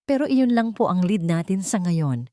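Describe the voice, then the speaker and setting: A woman playing a careful private investigator in a narrated story, deferential and measured. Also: lowish voice, lively intonation, warm tone, dry recording, studio quality, close to an everyday voice. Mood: polite.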